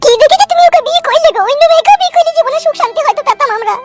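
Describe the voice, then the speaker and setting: A woman's voice: extremely high pitch, expressive, very fast, faint music behind. A woman playing a long-suffering village wife in a comic cartoon, plaintive and complaining. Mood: aggrieved, tearful.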